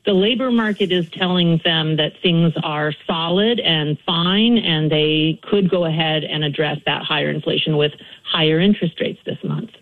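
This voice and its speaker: A woman economist being interviewed live, explaining job numbers calmly. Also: deep voice, normal speed. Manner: calm, explanatory. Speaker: economist guest. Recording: phone-line audio.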